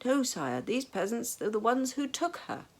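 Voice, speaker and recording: A woman voicing a shrill, anxious male royal aide. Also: big pitch swings, normal speed, husky. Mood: urgent.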